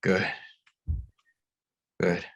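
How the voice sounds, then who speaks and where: A man playing a gentle, battered pastor in a hospital bed, weary and halting in a script read-through. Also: lo-fi sound. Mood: gentle, resolute. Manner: weary, halting, softly apologetic. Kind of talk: screenplay table read acting.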